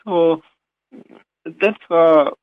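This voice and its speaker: A man's voice: fairly high pitch, very slow, smooth, dry recording. A man in his thirties from Sri Lanka with a Sri Lankan accent, answering interview questions hesitantly with many fillers and restarts. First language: Sinhala. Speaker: radio show host, guest. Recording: dry tinny phone-like line.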